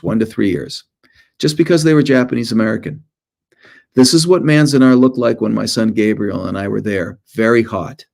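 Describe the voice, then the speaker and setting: A man's voice: mid-range voice, darkish tone. A middle-aged man, an author, presenting informally to schoolchildren in short storytelling phrases with conversational asides.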